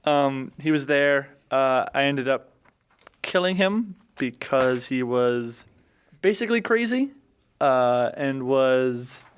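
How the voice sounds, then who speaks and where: A man with a very clean, smooth voice gives a casual recap of a tabletop game adventure. He speaks haltingly, feeling around for details as he goes, like a player on a podcast. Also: medium pitch, lively intonation. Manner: halting, searching for memory.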